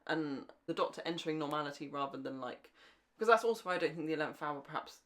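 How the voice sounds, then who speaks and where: Animated young woman, chatting casually. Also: low-pitched, quick pace. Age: young adult. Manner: enthusiastic, chatty. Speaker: podcast co-host. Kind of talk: casual fan podcast chat.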